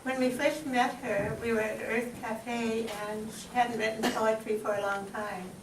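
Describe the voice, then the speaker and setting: A woman's voice: on the high side, level tone, a little slow. A woman hosting a live event, giving an informal ceremonial introduction speech. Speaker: event host introducing a poet. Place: live event room, recorded from a distance. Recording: echoey, distant microphone, clear background noise.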